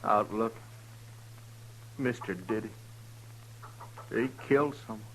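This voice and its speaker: An actor playing a gruff, wounded young frontier son with a rural Western American accent in a 1950s radio drama. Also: male voice, lowish voice, slow, a bit bright, a little hiss or hum, low-quality recording. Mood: pained, uneasy. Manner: curt, gruff.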